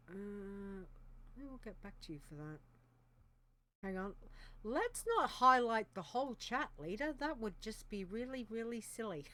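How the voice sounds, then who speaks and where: A woman co-hosting a podcast in casual chat, thinking aloud with frequent ums and restarts. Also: mid-range voice. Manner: hesitant, thinking aloud with many fillers. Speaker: podcast co-host.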